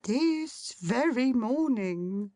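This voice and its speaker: A woman's voice: fairly high pitch, lively intonation, very slow, dark tone, no room sound, studio quality, pitched above the performer's own voice. A woman voicing an agitated, fussy male secretary who blurts out alarming news in breathless exclamations.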